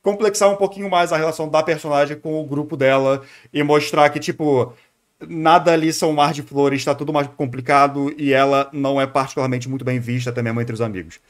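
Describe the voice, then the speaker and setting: A man's voice: a little high, steady tone, a little fast. A Brazilian man chatting casually and informally as a live streamer, rambling through his commentary. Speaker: live streamer reacting to a video. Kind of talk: casual livestream chat and commentary.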